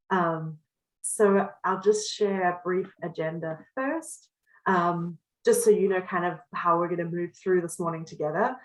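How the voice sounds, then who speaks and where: A woman's voice: medium pitch, echoey room, rough recording. A woman from South Africa with a Cape Town accent, leading an educational workshop in an upbeat, welcoming way. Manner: upbeat, welcoming, frequent ums. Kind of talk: friendly presentation over video call.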